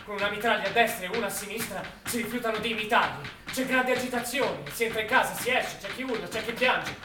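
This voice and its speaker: A man's voice: high-pitched, fast talker. A man narrating a scripted war drama as a stage actor, in a dramatic storytelling style. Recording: echoey, noisy, distant stage recording.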